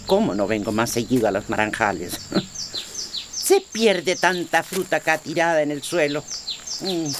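An actress playing a kindly, superstitious old grandmother in a radio drama, fussing and exclaiming in a folksy way. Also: rumbling low. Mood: contented musing. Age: older.

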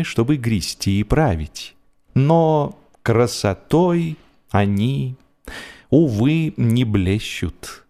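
A man narrating humorous literary prose in an expressive, measured storytelling manner with deliberate pauses. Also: medium pitch. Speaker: audiobook narrator. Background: music.

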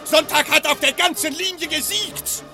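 Radcliffe, very high, grim policeman. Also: steady tone, moderate pace, music in the background. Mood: fatalistic. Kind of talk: German radio play acting.

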